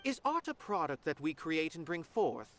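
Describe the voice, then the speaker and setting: A lofty, musing theater observer. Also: male voice, on the high side, sing-song, moderate pace, a bit bright. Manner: lofty and condescending. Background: game music.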